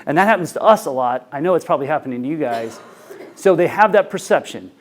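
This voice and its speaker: Man, 40s, doctor lecturing, handheld mic, conference room, chatty with fillers, slightly high voice. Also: lively intonation, fast talker. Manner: conversational, anecdotal, frequent fillers. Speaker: ENT physician lecturer. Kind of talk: medical lecture to clinicians. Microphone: handheld microphone.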